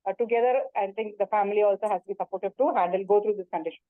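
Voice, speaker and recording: A woman's voice: mid-range voice, very fast, no room sound. A woman teaching yoga in a webinar, speaking earnestly and repeating herself, with many fillers and restarts, over a video call. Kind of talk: webinar talk and Q&A. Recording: tinny phone-like call audio.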